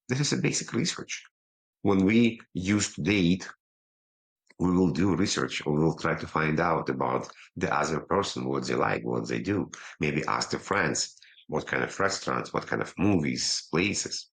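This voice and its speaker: A man with a low voice and a non-native English accent, talking as a podcast guest over a video call, quick but pausing to slow himself down. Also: creaky, fairly bright tone, husky, poor audio. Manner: quick talker who slows himself down, pausing instead of fillers. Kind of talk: casual interview talk.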